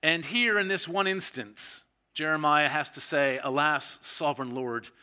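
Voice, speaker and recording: A man's voice: fairly high pitch, unhurried, pushed and bright. A middle-aged man with a Canadian accent, preaching a sermon as a guest pastor in a conversational, self-deprecating way.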